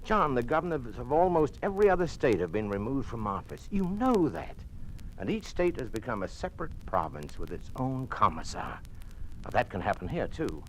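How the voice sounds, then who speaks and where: A man's voice: mid-range voice, very animated, moderate pace, natural voice. An American man acting as a governor's cautious, pragmatic doctor friend in an old radio drama, scolding and urging with emphasis. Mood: urgent, pleading. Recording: noisy old-time radio recording.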